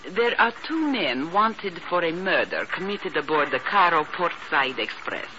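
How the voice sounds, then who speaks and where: An actress playing a proud, coolly threatening woman informant, speaking formally and deliberately in a radio drama. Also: lowish voice, sing-song. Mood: coldly determined. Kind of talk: 1950s radio drama acting. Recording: noisy, dull 1950s radio recording.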